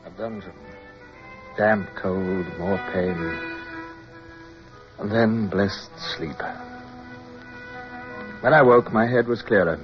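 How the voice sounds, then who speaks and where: A man's voice: lowish voice, takes it slowly, pauses often, music in the background. An actor playing a resolute British naval officer in a radio drama, who commands and narrates his own adventure. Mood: grave narration. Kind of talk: radio drama acting and first-person narration. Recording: dull, noisy old radio recording.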